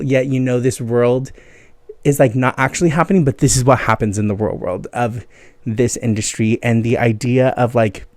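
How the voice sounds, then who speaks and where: A man's voice: mid-range voice, darkish tone, dry recording. A man hosting a podcast in a casual, enthusiastic and emphatic monologue, recommending music in a chatty way. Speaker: podcast host.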